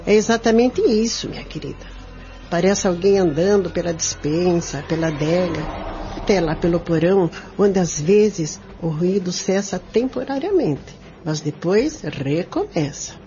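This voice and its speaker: Woman acting a calm, low-pitched lady's companion. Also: a little slow. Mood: calm, reassuring. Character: calm, practical lady's companion, reassuring and unafraid. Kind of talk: radio drama acting. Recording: dull radio play recording, loud music.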